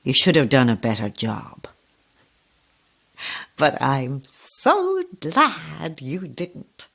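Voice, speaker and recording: A woman's voice: deep voice, huge pitch swings, very slow, vocal fry, a bit bright, heavily acted. An actress playing a sinister older woman, sweetly mocking and gleefully cruel, with sing-song, gloating delivery in a horror audio drama.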